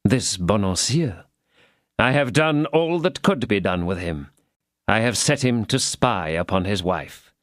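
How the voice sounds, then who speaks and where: A man voicing a scheming older cardinal who speaks in a measured, falsely paternal tone. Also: lowish voice, lively intonation, dry recording, clean studio sound. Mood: commanding. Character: scheming, cold-hearted older male cardinal.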